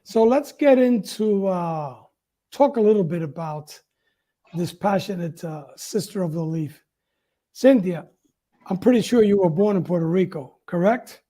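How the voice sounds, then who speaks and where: A man hosts a relaxed, conversational interview on a video call. His voice sits quite high for a man, with a dark, mellow tone. He chats casually and puts questions to his guest. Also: unhurried. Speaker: interview host.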